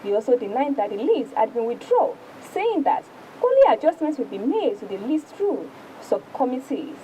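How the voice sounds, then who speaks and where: A woman's voice: fairly high pitch, very animated, normal speed, mellow, a little hiss or hum, low-quality recording. A woman with a Nigerian accent presenting a newspaper review, reading formally aloud with occasional stumbles. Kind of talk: news reading and paper review.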